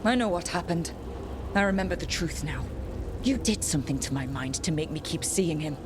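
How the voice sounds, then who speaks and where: Woman acting a low-voiced, blunt fantasy heroine. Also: brisk, noisy background, lightly acted. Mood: hurt, shaken. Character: blunt, betrayed, determined young female fantasy hero. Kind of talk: dramatic video game voice acting.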